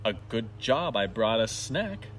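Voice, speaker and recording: A man's voice: on the low side, very animated, a little slow, fairly bright tone, distant mic, a little hiss or hum, pitched below the performer's own voice. A man voicing Percy, a cheerful, good-natured animal from a children's storybook, chatty and eager. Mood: peckish, content.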